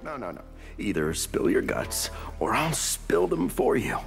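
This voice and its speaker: An arrogant video game superhero who thinks himself a god. His delivery is slow and mocking, swinging widely in pitch with a heavy creak. He condescends with menace, and music plays behind him. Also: big pitch swings. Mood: smug. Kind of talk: fighting game character dialogue.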